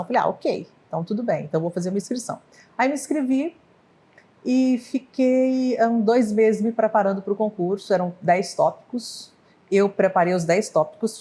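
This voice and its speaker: A woman's voice: mid-range voice, darkish tone. A woman in her fifties from Brazil, telling her life story in an interview, rambling and correcting herself with short laughs. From Brazil (Goiás / northwest Minas Gerais). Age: 50s. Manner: rambling, hesitant with self-corrections and restarts; laughs lightly at her own slips. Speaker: professor of pharmacy, interviewee. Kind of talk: oral history interview.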